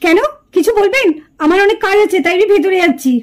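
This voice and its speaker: A woman's voice: very high-pitched, fast talker. A woman playing a proud, jealous young village woman in a puppet cartoon, curt and sarcastic with a scornful edge. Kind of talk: puppet cartoon drama acting.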